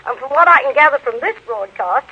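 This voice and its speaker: A woman's voice: sits high, animated, brisk. An American woman as a guest author on a 1930s radio show, telling anecdotes and trading banter. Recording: noisy, narrow 1930s radio recording.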